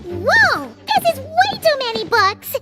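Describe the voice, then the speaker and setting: A tiny, excitable fairy girl from a game cutscene chatters away in a very high, squeaky voice. Her pitch leaps all over the place as she exclaims at everything. She talks about herself by name instead of saying "I.". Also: slow pace, faint music behind, full character voice. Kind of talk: video game cutscene dialogue.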